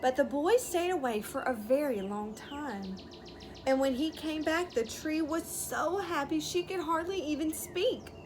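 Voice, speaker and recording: A woman's voice: high-pitched, a little slow, noisy background. A woman reading a story aloud to young children as a teacher would, explaining and asking simple questions.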